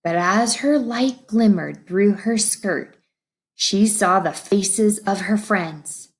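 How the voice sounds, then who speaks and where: A woman reading a children's story aloud in expressive narration. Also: medium pitch, takes it slowly. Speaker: storybook reader.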